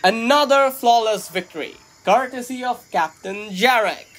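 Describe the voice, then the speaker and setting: A man's voice: very high-pitched, unhurried, fairly bright tone. A man playing a self-glorifying spaceship captain in a sci-fi comedy audio drama, declaiming in a grandiose, theatrical way. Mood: self-satisfied.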